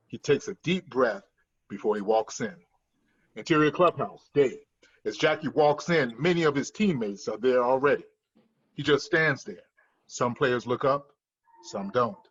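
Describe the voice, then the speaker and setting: A man's voice: medium pitch, husky, dull audio. A man reading screenplay stage directions as scripted narration at a table read.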